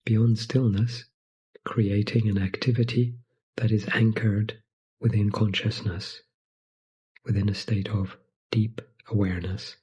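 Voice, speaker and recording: A man guides a meditation in a soft, very breathy and husky voice. His tone is mellow and stays within a narrow, even range. He speaks gently in short phrases, leaving long, unhurried pauses between them. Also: fairly low pitch.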